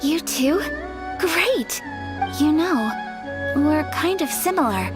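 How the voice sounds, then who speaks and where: A woman playing a refined young noblewoman in a video game, polite, measured and tender, with music behind her. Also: high voice, lively intonation. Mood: heartfelt. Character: refined young noblewoman, gentle, earnest and wistful. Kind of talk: video game character acting. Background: game background music.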